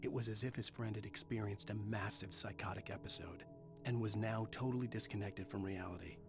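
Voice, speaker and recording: An actor playing a brooding male writer who narrates his own thriller gravely. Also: fairly low pitch, fairly even intonation, a little fast, music in the background, lightly acted. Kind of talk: scripted first-person thriller narration.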